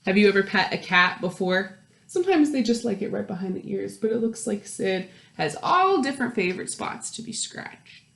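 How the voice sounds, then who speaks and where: A woman reading a picture book aloud to young children, expressive, with questions and asides to her listeners. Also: medium pitch, moderate pace, gravelly. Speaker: children's storytime host and story reader. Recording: roomy, echoey indoor sound.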